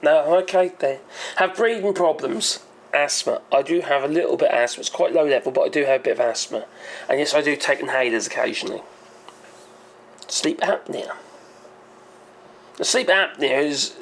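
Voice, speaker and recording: Brief: hoarse male channel host, gravelly and slightly high, rambling and chatty. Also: a little hiss or hum. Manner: rambling, hesitant, self-correcting. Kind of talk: casual explainer monologue.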